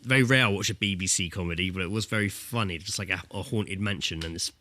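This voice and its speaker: A man's voice: low voice, quick pace, bright, forceful tone, slight background noise. A British man co-hosting a podcast in chatty, sweary casual conversation. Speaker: podcast co-host. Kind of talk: casual chat.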